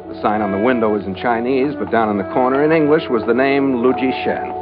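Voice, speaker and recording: A man plays a tough sea captain in a vintage radio adventure. His medium-pitched voice is terse and hard-boiled. The recording is dull, with clear music behind it. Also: close to an everyday voice. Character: tough, laconic ship's skipper narrating his adventure. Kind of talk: old-time radio drama narration and dialogue.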